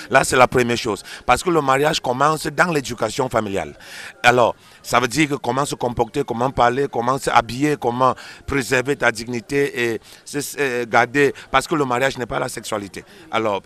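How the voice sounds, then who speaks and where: Forceful, bright-voiced man, emphatic pastor. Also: mid-range voice. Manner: emphatic, didactic, repeats phrases for emphasis. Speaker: pastor, interview guest. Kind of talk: explanatory interview answers on a religious show.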